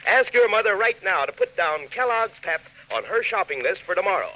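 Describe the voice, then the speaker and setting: A man's voice: high voice, expressive, brisk, sharp tone, rough recording. An American man doing a chummy, enthusiastic, folksy 1940s radio commercial aimed at children.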